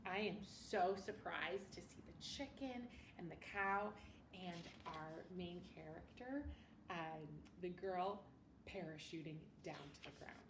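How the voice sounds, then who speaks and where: A woman teacher reading a picture book aloud to children in an expressive way, often pausing to ask her listeners questions. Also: fairly low pitch. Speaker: elementary school teacher. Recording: roomy, distant mic with faint noise.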